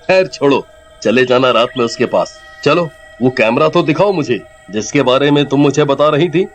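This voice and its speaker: A man playing a young boyfriend in an animated horror story, dramatic, grumbling and easily frightened. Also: fairly high pitch, measured pace, faint music behind, lightly acted. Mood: grumbling, impressed.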